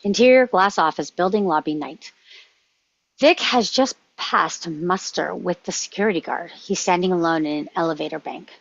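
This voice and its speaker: A woman's voice: on the low side, normal speed, muffled recording. A woman reading stage directions as scripted narration at a screenplay table read.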